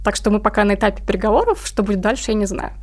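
A woman's voice: medium pitch, racing. A woman talking casually and informally as an interview guest, explaining things in a conversational way. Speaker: interview guest, comic artist.

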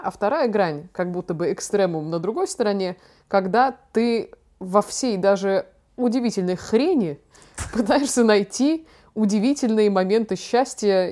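Young female co-host, mellow and relaxed, mid-range pitch, feeling her way through wordy questions. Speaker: podcast co-host asking questions. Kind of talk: casual, thoughtful interviewing.

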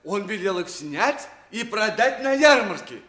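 A man's voice: high-pitched, sing-song, measured pace, pushed and bright, roomy sound, exaggerated voice. A man playing a flustered, aggrieved palace butler with a plaintive, theatrical manner in an old radio play. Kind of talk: 1950s Russian radio play acting.

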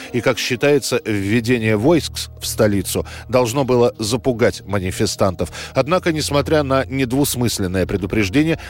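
A man reads a scripted history documentary narration. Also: medium pitch. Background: music bed.

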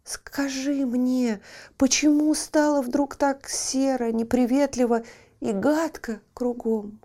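A woman's voice: high-pitched, fairly even intonation, takes it slowly, mellow, pitched above the performer's own voice. A woman voicing a cheerful, carefree young princess in a storybook reading, eager and exclaiming. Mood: excited.

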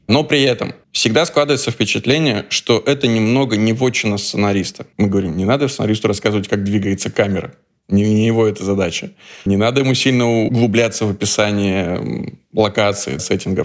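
A man co-hosting a podcast, relaxed and asking questions in a casual chat. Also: fairly low pitch. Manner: relaxed, posing questions to the guest. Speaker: podcast co-host, screenwriter.

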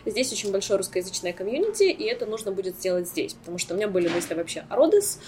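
A middle-aged woman from Ukraine, talking as an interview guest in a lively way, with many hesitation sounds. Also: mid-range voice, very fast. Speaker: guest, bar co-founder. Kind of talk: casual interview talk.

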